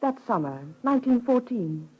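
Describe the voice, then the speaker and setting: Casting: sorrowful lover in wartime, husky, intimate, restrained pleading, muffled vintage radio play. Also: female voice, on the high side, very animated, moderate pace. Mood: coaxing. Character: sad, weary wartime woman, the officer's lover. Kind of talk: vintage radio drama acting. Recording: dull vintage radio recording.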